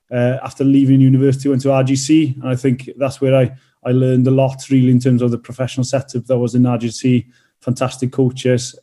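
Welsh male athlete, 30s, hesitant and halting, dark even delivery. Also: mid-range voice. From North Wales. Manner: hesitant, many fillers and restarts. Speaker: rugby player and teacher. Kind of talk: casual interview answers.

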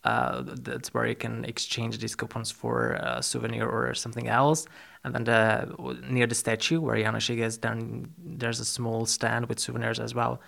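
A man hosting a podcast in a conversational interview style, explaining things haltingly with frequent pauses. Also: medium pitch, level tone, creaky, a bit bright. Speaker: podcast host.

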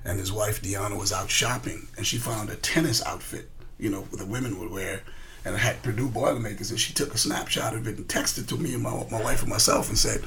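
A man's voice: on the low side, steady tone, a little fast, vocal fry, fairly bright tone, slight background noise. A man who grew up in the Bahamas, a coach telling stories casually in an interview, emphatic and often repeating his words. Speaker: college coach being interviewed. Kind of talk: casual conversational storytelling.

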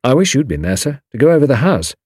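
A man's voice: medium pitch, very animated, fast talker, darkish tone, slightly rough, dry recording, studio quality, voice pushed up. A man voicing a modest, eager young Englishman in an audiobook, frank and respectful in his manner. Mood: earnest. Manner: deferential, frank. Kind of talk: audiobook character dialogue.

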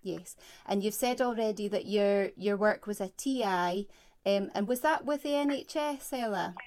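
A woman interviewing an older woman over a phone call, gently and hesitantly with many fillers. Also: medium pitch, normal speed. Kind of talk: oral history interview.

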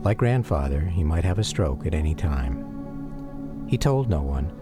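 An actor playing a grown son who gravely and reflectively narrates his hounded father's story in a radio drama, with an American accent. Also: very deep voice, very animated, measured pace, heavily acted. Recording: radio drama with music behind.

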